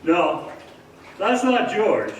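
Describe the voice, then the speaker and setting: A man's voice: high-pitched, very animated, drawn-out words, recorded from a distance. A man narrating and announcing in showy, dramatic old-time radio style. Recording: echoey, noisy theater stage from afar.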